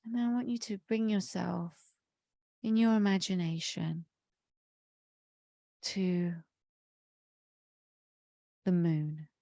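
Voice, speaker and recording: A woman leading a guided meditation in a slow, soothing way, her voice slightly croaky from a cold. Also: fairly low pitch, fairly even intonation, dark tone, dry recording. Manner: slow, soothing, drawn-out phrasing. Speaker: meditation leader.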